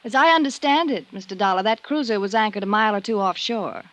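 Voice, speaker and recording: Woman acting a guarded wife, composed, pointed, theatrical, medium pitch, vintage radio noir. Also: fast, natural voice. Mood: cool, mocking. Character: cool, evasive married woman suspected of insurance fraud. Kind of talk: old-time radio drama acting.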